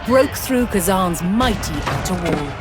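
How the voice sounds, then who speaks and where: A woman reading scripted historical narration for a strategy game, in a measured, dramatic storytelling delivery. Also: fairly low pitch, expressive. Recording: music under the voice. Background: background music.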